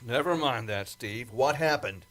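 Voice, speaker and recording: A man's voice: mid-range voice, animated, unhurried, a bit bright. A man acting in an old-time radio drama as a doubting, exasperated colleague of a pilot, with an impatient manner. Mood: skeptical, concerned. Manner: skeptical, impatient.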